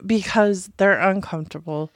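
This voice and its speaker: A woman's voice: mid-range voice, moderate pace, dry recording, studio quality. A woman co-hosting a casual podcast, pushing back on her partner with skeptical teasing. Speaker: podcast co-host.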